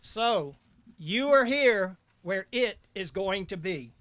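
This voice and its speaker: A man's voice: high-pitched, animated, slow, a bit bright. A middle-aged man giving a folksy welcome speech as master of ceremonies.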